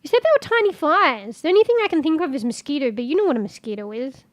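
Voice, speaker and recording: A woman's voice: high voice, big pitch swings, quick pace, no echo. A congested woman, rambling in casual live-stream chat as a streamer, swearing and reading search results aloud. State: congested, losing her voice.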